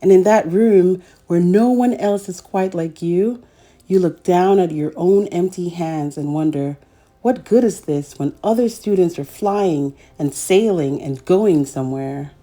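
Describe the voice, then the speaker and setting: A woman's voice: lowish voice, unhurried, dark tone. A woman hosting a children's read-aloud, telling a picture-book story expressively and speaking directly to young listeners.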